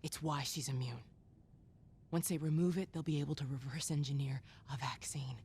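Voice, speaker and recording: A steady, earnest resistance leader. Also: female voice, sits low, moderate pace, a little hiss or hum. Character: determined resistance leader woman, desperate. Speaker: game character. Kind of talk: game cutscene dialogue.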